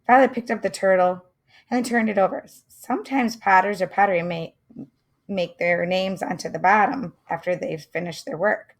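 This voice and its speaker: A woman reading a children's mystery chapter aloud, pausing to comment and wonder aloud to her listeners. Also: mid-range voice. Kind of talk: read-aloud storytelling with asides.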